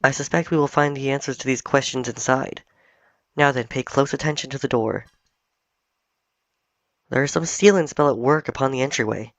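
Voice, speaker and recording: A man voicing an ancient, wise cat spirit guardian, reading its lines in a solemn, measured way. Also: medium pitch, no echo, lightly acted. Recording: dull, dry home recording.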